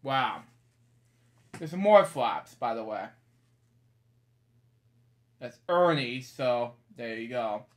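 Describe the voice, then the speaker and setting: A man's voice: mid-range voice, animated, slow, pauses often. A man in his thirties reading a picture book aloud in a small bedroom, rambling with casual asides and stock phrases.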